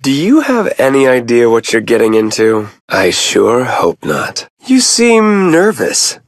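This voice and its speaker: A man voicing a devoted, smooth-talking young nobleman in a fantasy game, suave and expressive. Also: mid-range voice, unhurried. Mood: teasing. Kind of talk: game voice acting.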